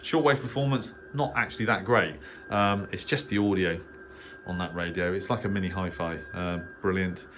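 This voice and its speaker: A man's voice: low-pitched, steady tone, normal speed, background noise. A middle-aged man with an English accent gives a casual, unscripted tour in an understated, hesitant, rambling way. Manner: hesitant, understated, rambling delivery with trailing asides. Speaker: amateur radio hobbyist giving a tour. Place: indoor home radio shack.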